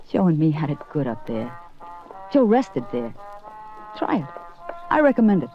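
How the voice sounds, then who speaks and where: An actress in 1950s radio drama playing a world-weary, flirtatious taxi dancer who teases and turns bitter. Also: female voice, sits low, big pitch swings, a little slow. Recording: dull old radio broadcast, music behind.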